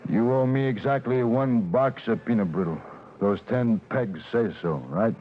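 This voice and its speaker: A man's voice: medium pitch, measured pace, a little hiss or hum, natural voice. An actor playing a menacing, tough male prison bully who talks in clipped threats, in 1940s radio acting style. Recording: tinny old radio broadcast.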